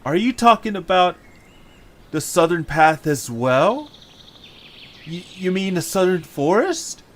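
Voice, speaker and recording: A man playing an eager, hopeful young adventurer in an amateur audio drama, with excitable, expressive delivery. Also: high voice, takes it slowly, a little hiss or hum, exaggerated voice. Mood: curious. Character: eager, hopeful young male adventurer leading his friends.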